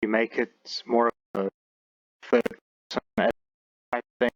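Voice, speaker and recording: A man giving casual game commentary and review as a video host, often pausing mid-sentence. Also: mid-range voice, flat delivery, dry recording. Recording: muffled lo-fi mic, loud background noise.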